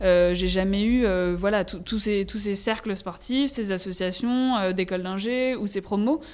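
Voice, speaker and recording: A young woman with a French accent speaks as a guest in a casual interview. Her voice sits at a middle pitch. She is candid and conversational, often pausing to find the right word. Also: fairly even intonation, darkish tone. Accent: French from France. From France. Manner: hesitant, searching for words, candid.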